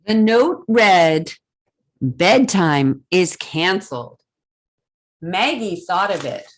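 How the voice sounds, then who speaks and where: A woman hosting a children's storytime, reading a picture book aloud expressively and pausing to put questions to young listeners. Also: lowish voice. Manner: expressive, deliberate, simple phrasing with questions to children.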